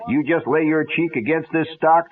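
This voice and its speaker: An American man voicing a hearty, insistent cowboy hero who gives a folksy sales pitch, as part of a radio story. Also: moderate pace, a crowd in the background, natural voice. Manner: booming, folksy, hard-selling. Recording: muffled phone-like old radio recording.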